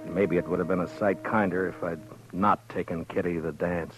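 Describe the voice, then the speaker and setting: An actor playing a laconic, plain-spoken American frontier marshal in a 1950s radio western, speaking haltingly and with understatement. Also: male voice, low-pitched, fairly even intonation, lightly acted. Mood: brooding. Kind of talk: 1950s radio western acting, first-person narration and dialogue. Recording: dull 1950s radio recording with music.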